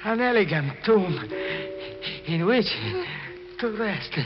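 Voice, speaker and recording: An actor playing a half-dead strangled man, mocking and eerily amused, with halting delivery in a 1940s radio thriller. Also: high voice, expressive, music in the background. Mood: gleeful mockery. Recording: muffled, faintly noisy old radio recording.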